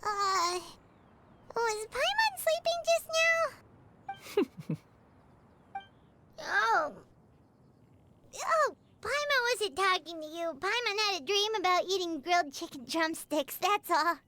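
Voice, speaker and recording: A woman's voice: very high voice, lively intonation, slow pace, pauses often, pushed and bright, a little hiss or hum, full character voice. A woman voicing a small, childish, girlish fairy companion in a video game, who refers to herself by name. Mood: flustered, sheepish. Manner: talks about self in third person.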